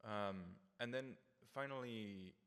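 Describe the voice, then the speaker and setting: A man's voice: fairly low pitch, slow, a bit bright, smooth. A man who grew up in Peru, answering interview questions on a panel in a hesitant, reflective way with frequent restarts. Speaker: early music performer, guest. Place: concert hall stage, live event. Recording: long reverb of a concert hall.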